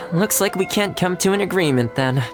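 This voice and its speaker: A man's voice: high-pitched, lively intonation, unhurried, music in the background. A man playing a friendly, patient young retainer in a video game, speaking in an easygoing, conciliatory way. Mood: resigned. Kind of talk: video game character acting.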